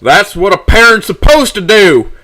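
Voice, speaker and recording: A man performs a young man just out of high school in a dramatic audio story. He is desperate and resentful, and his words to his father come out raw and emotional. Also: fairly even intonation, a little fast, pushed and bright, lightly acted. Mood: bitter fury. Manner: pleading, raw, emotional outbursts.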